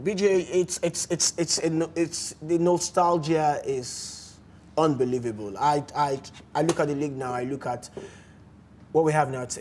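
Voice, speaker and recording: A man with a Nigerian accent hosting a casual sports talk show in a studio, animated and often restarting his words. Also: on the high side. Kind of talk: casual studio chat with phone-in.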